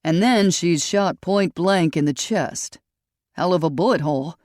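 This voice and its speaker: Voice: medium pitch, measured pace, a little mellow, no room sound, studio quality, lightly acted. An audiobook narrator voicing a tall male detective who questions people in a level, friendly way with blunt edges. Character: tall male police detective, calm and probing.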